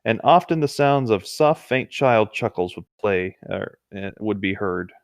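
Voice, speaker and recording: A man's voice: fairly low pitch, animated, unhurried, no room sound, studio quality. A man reading horror stories aloud as a co-host, with casual chatty asides. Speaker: co-host reading video game creepypastas.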